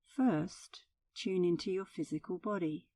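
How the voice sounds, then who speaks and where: Female meditation guide: lowish, warm and relaxed voice, unhurried and soothing, gentle instructional narration. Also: no echo, recorded from a distance.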